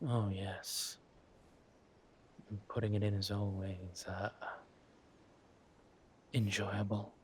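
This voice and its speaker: A man playing a flirtatious, smug villain king in a roleplay audio drama, talking to his spouse in a husky, teasing, languid way. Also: lowish voice, little pitch movement, a little mellow, a little hiss or hum. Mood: grudging, flirtatious. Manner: teasing, languid, amused, drawn-out phrasing with murmured asides.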